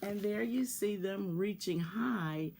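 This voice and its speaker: A woman reading a picture book aloud for children in a measured way, pausing to address young listeners. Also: mid-range voice, vocal fry, darkish tone. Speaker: volunteer children's story reader.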